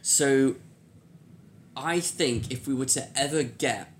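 A man's voice: medium pitch, takes it slowly, a little hiss or hum. A man speaking as a podcast co-host, in loose, informal conversation. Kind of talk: casual chat.

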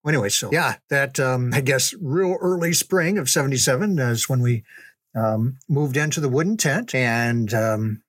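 An older man casually recounting family memories in a halting, unhurried storytelling way. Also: mid-range voice, dry recording, studio quality. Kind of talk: casual reminiscing.

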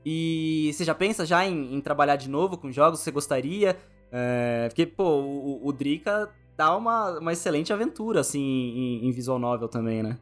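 A man's voice: fairly high pitch, lively intonation, normal speed, a bit bright, soft background music. A man with a Brazilian accent hosting a casual podcast interview in an informal, slangy, rambling way. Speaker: podcast host.

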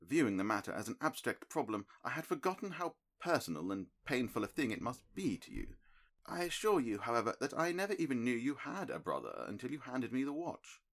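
A man reading an audiobook, voicing a calm, analytical middle-aged male detective who explains his reasoning in a crisp, precise, lecturing manner. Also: mid-range voice, brisk, voice pushed up. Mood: explaining.

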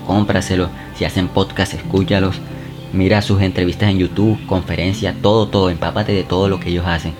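Male podcast host, low voice, talks straight to the listener, music underneath. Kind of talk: self-help podcast monologue. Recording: music bed behind the voice.

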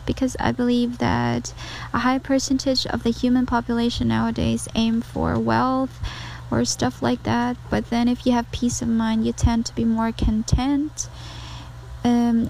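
A woman's voice: a little high, gravelly, dark tone, a little hiss or hum, low-quality recording. A woman from the Philippines with a Filipino accent, giving an informal personal message.

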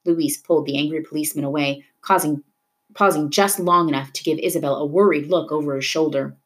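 A woman reading a novel aloud in an expressive storytelling style, dramatic at tense moments. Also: low voice, a little fast. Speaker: reader of a children's novel. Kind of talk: expressive read-aloud narration of a book.

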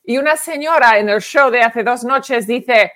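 A woman's voice: a little high, measured pace, fairly bright tone. Chatty woman. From Spain. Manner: chatty storyteller, acts out quoted voices. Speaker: comedian and co-host. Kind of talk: casual podcast chat.

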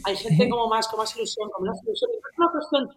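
A woman's voice: on the high side, sing-song, very fast, echoey room, crowd noise, rough recording. A woman who works as an assistant director, talking emphatically and insistently in a casual panel chat.

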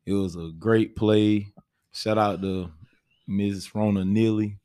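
A man's voice: fairly low pitch, fairly even intonation, a little slow, warm tone, smooth, no echo. An American man hosting a podcast, chatting casually and informally with slang. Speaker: podcast host.

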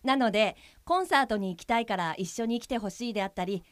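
Woman acting a serious polite helper explaining her job in a radio drama, a bit high, dry sound. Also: fast, no echo, natural voice. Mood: matter-of-fact. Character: earnest, matter-of-fact female guide helper for blind people. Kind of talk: audio drama dialogue.

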